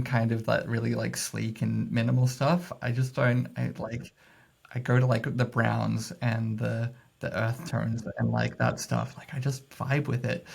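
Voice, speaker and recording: A man's voice: medium pitch, gravelly. A man talking as a podcast guest, loose and casual, full of fillers and laughs as he thinks aloud. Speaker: guest, messaging strategist. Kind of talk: casual interview chat.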